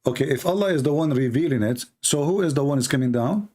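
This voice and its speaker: A man's voice: on the high side, expressive, quick pace, darkish tone. A man with an Arabic accent and Arabic as his first language, debating religion insistently with challenging questions and repeated points. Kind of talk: argumentative live religious debate.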